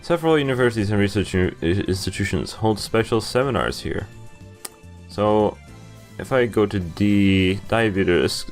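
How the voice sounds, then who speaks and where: Low-voiced young man reading a game aloud. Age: young adult. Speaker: gaming streamer reading a visual novel aloud. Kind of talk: casual playthrough reading and commentary. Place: indoor room at a computer. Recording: game music behind the voice.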